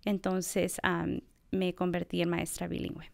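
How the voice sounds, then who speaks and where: Middle-aged woman academic, reflective interviewee, gravelly but clear, dry close sound. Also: fairly low pitch, completely flat delivery, unhurried, darkish tone, dry recording. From United States. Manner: thoughtful, reflective, many fillers. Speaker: assistant professor of bilingual education. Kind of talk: conversational interview.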